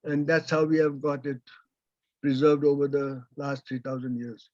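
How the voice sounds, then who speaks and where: A man whose first language is Persian answers audience questions on a video call, slowly and with many pauses. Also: fairly high pitch, little pitch movement, darkish tone. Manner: slow, halting, with many pauses. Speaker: invited speaker. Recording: dull lo-fi video call audio.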